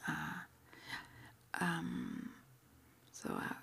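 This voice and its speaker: A woman whispering gently and hesitantly in an ASMR roleplay, as an interviewer asking intake questions in a breathy voice. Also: extremely slow. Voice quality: breathy whisper with some creak. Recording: faint background noise.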